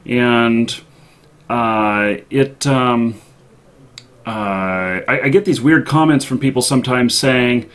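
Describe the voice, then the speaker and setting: A man with a soothing voice hosting a casual interview, talking in a hesitant, halting way. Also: medium pitch, a bit bright. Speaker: show host interviewing guests. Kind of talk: casual chat.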